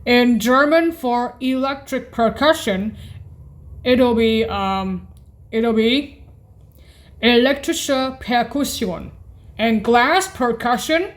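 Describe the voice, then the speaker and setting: A woman presenting a halting instructional demonstration, hesitant and often correcting and repeating herself. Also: on the high side. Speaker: music practice presenter.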